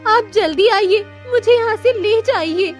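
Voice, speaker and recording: A woman's voice: very high voice, a little slow, soft background music. A woman playing a distressed, newly married young daughter in a moral-story cartoon, plaintive and pleading.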